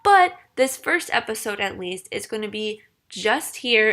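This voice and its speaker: A woman's voice: a little high, pushed and bright, no echo. Chatty young woman in her twenties. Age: 20s. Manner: chatty, self-deprecating, quick to laugh. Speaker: podcast co-host. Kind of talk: casual chat.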